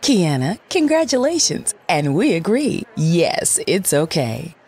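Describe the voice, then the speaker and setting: A woman narrating a scripted report with measured, polished delivery. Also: lowish voice, sing-song, darkish tone.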